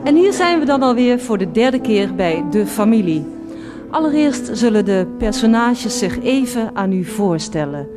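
A woman's voice: on the high side, very animated, moderate pace, over loud music. A woman presenting a radio play live as its director, addressing listeners and actors.

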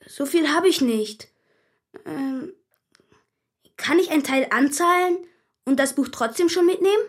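A woman's voice: high-pitched, animated, measured pace. An actress playing a polite, eager, slightly hesitant schoolgirl in a German radio drama.

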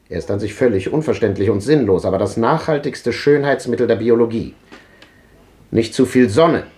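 A man's voice: mid-range voice, a little mellow, close to an everyday voice. An actor playing an ironic, resigned old man who lectures drily, in a 1950s radio drama.

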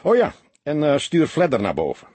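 A man voicing a seasoned, greying police detective in an audiobook, calm, sober and matter-of-fact. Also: on the high side, normal speed, slightly rough, no echo, voice pushed up, exaggerated voice. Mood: businesslike.

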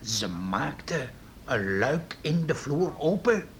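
A man's voice: big pitch swings, takes it slowly. An actor playing a cautious, obsequious city sheriff reporting to his superior in a Dutch radio drama. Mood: deferential, hesitant. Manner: obsequious, hesitant. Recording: noisy old radio play, rumble.

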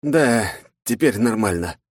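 A crude, irritable young male hero, read by the man narrating, cursing and snapping, his voice jumping around in pitch. it sounds close and dry, with no room sound. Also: lively intonation, takes it slowly, no room sound, heavily acted. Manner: swearing, gruff, in pain. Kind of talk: audiobook character voice.

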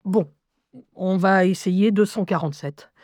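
A woman playing a lively young schoolgirl who narrates her life in a wry, confiding, expressive way in an audio drama. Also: mid-range voice, unhurried, no echo, studio quality, lightly acted. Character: lively eleven-year-old schoolgirl recounting life with inner voices.

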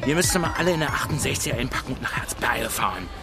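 A man's voice: mid-range voice, animated, fast, lightly acted. An actor playing a bitterly mocking Berlin working man in a radio drama, with a local accent and a sneering, colloquial delivery. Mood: scornful. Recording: music behind the dialogue.

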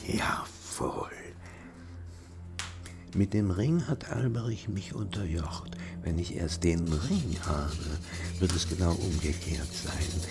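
Gravelly, deep, scheming dwarf. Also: male voice, takes it slowly, heavily acted. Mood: gloating, bitter. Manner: scheming, gloating. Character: resentful, power-hungry dwarf smith plotting in secret. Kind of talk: fantasy radio drama inner monologue.

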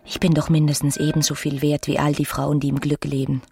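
An actress playing a bored, melancholy young doctor's wife in a literary radio play, brooding and intimate, at times near whispering. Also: female voice, sits low, monotone, a little fast, darkish tone, soft background music. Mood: resentful. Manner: brooding, breathy, sometimes sinking into whispers.

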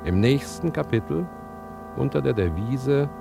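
A man's voice: mid-range voice, lively intonation, unhurried, gravelly. An actor playing a knowing male storyteller who narrates a scripted radio drama to his audience, with guitar music behind. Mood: teasing, presentational.

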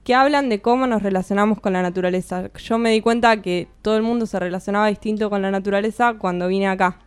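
Young woman, smooth radio guest. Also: mid-range voice, fairly even intonation, fast talker, no room sound. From Bariloche, Argentina. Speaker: guest author. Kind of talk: radio studio chat. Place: radio studio.

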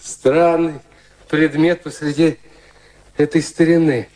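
A man acting in a radio drama, playing an ardent, awestruck young romantic who speaks in a halting, rapturous way. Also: fairly high pitch, flat delivery. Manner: rapturous, halting, hushed wonder. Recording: radio play with music behind.

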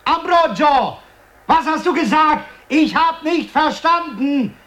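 A man's voice: very high voice, expressive, slow, a bit bright, heavily acted. A man playing an insistent radio contact straining to hear, in a radio drama over a noisy link.

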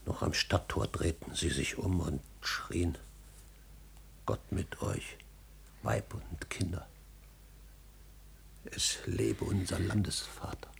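An actor playing an old, grieving manservant in a classical German radio drama, weary, restrained and bitterly ironic. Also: male voice, low voice, slow pace, creaky, long pauses, a little hiss or hum, heavily acted. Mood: bitter irony.

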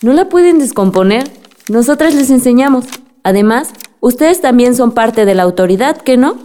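A woman's voice: a little high, lively intonation, moderate pace, mellow, lightly acted. A young woman playing an assertive daughter who questions unfair authority in a radio drama. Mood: indignant, questioning.

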